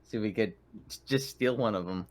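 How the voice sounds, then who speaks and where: A man's voice: fairly low pitch, brisk, darkish tone. A man playing a tabletop game, tossing out ideas in casual conversation.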